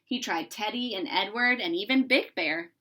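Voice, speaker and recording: A woman reading a children's story aloud in an expressive, deliberate narrating style. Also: mid-range voice. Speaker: storybook reader.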